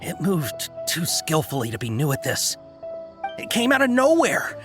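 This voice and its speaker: A man's voice: fairly high pitch, wildly swooping pitch, moderate pace. A man playing a nervous, hesitant caravan merchant in a video game, apologetic and eager to please. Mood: shaken. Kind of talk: video game character dialogue. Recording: game music under the voice.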